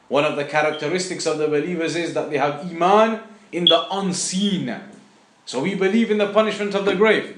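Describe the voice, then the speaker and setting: A man teaching a religious lesson off the cuff, explaining didactically and repeating phrases for emphasis. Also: fairly high pitch. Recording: echoey room.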